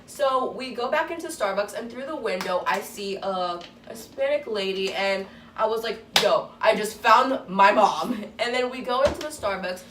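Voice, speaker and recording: A woman's voice: mid-range voice, lively intonation, normal speed, hardly any pauses. A teenage girl with a babyish voice telling a story in a rambling, casual way, with lots of swearing. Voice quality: youthful, babyish voice. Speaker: vlogger. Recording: echoey room, distant mic, faint noise.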